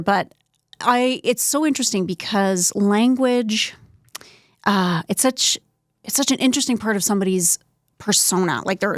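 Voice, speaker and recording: A woman hosting a casual conversational interview in an animated, reactive way. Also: mid-range voice, dry recording, studio quality. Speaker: podcast interviewer.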